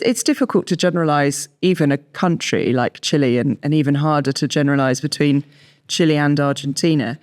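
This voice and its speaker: A woman's voice: low-pitched, darkish tone. A woman in her thirties with a southern English accent, answering conversationally as an expert guest in an interview. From southern England. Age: 30s. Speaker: wine expert and writer, guest.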